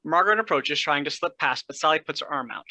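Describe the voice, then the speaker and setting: A stage directions reader with a bright, forceful voice at a medium pitch, recorded dry. The delivery is scripted narration for a play reading. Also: lively intonation, fast talker, no room sound.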